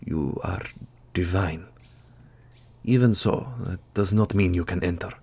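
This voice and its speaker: A man's voice: deep voice, measured pace, gravelly, a little mellow, slightly rough, full character voice. A man playing an earnest, formal and guarded warrior in a fantasy audio roleplay. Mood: stern.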